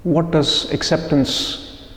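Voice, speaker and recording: A man leading a guided meditation session for a group, speaking in measured, deliberate phrases with pauses. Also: a little high, fairly even intonation, a bit bright. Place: echoey indoor room, live group session. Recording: echoey room with long reverb.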